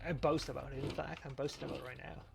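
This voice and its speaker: A man's voice: mid-range voice, fairly even intonation, racing, creaky, a crowd in the background. A man casually chatting as he plays a game on a podcast, joking and rambling with repeated words. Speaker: podcast co-host and game player. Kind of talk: casual gaming chat while playing. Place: remote voice call.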